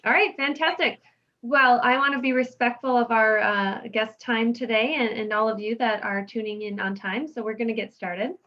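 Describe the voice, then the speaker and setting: A woman's voice: fairly high pitch, fairly even intonation, normal speed, bright, forceful tone. A woman hosting an online interview in an effusive, enthusiastic manner over a video call. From Wisconsin. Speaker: interview host. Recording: dull, lo-fi video call audio.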